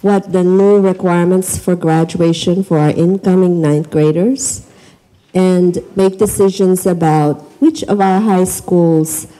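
A middle-aged Filipino-accented woman hosts a parents' event with an informal welcome. Her voice is lowish and mellow, and she speaks at an unhurried pace into a handheld microphone. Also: fairly even intonation, rough recording. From the Philippines. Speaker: school program director, event host. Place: school library, indoors.

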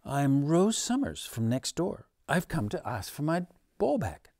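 A man puts on a small girl from a children's storybook. She is polite, earnest and plucky, pitched clearly higher than his own talk. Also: sing-song, a little slow, darkish tone, no room sound, studio quality, full character voice.

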